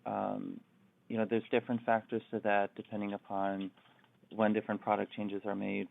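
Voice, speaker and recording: A man's voice: fairly low pitch, gravelly. A male company executive giving an unscripted answer on an earnings conference call. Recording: thin phone-line conference call audio.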